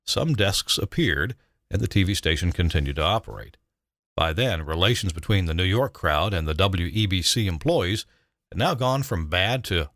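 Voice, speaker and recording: An older man, a retired broadcast engineer, narrating a scripted memoir in a measured, dramatic way with emphatic stresses. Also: low voice, fairly bright tone, no room sound, studio quality.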